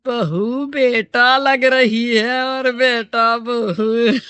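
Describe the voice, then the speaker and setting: A woman's voice: a little high, fairly even intonation, fairly bright tone, smooth, no echo. Woman putting on a drawling, gossipy temple priest. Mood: mocking. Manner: drawn-out, mocking.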